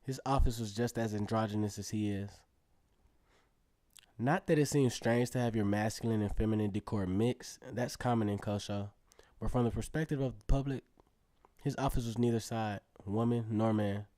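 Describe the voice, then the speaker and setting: A man's voice: lowish voice, fairly even intonation, normal speed, warm tone, dry recording, lightly acted. An actor playing an earnest teenage acrobat who narrates his story in a reflective, conversational way in a radio drama. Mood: wry, reflective. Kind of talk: first-person narration in an Afrofuturist radio drama.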